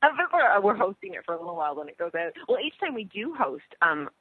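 A woman co-hosting a radio talk show, bubbly and chatty, laughing often as she talks over a phone line. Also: fast talker. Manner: bubbly, laughs often, lively pitch swings. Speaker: co-host.

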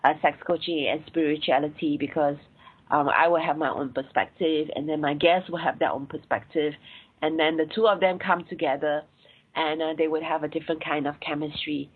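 Singaporean woman, podcast host, husky voice on a thin phone-like line, chatty and full of ums. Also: low voice, fairly even intonation, a little fast. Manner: chatty, many "um" and "uh" fillers. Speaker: podcast host and sex coach. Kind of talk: solo podcast episode recap.

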